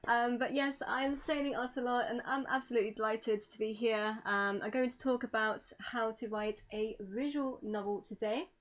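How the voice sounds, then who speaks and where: A woman's voice: on the high side, steady tone, normal speed, hardly any pauses. A woman giving an informal online presentation as a streamer, polite and apologetic in manner.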